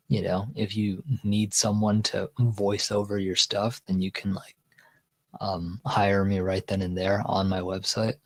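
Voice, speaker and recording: A man's voice: on the low side, darkish tone. A man with a Texan accent hosting a casual livestream, reading chat comments aloud and answering them in a rambling way with restarts and a chest voice. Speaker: livestream host.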